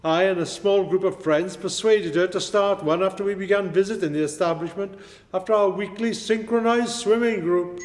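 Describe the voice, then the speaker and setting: A humble British man played by an actor with a notably high voice, hesitant and apologetic. He sounds deferential and down on his luck in a comic radio play, with long reverb. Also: normal speed, close to an everyday voice. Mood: humbly grateful.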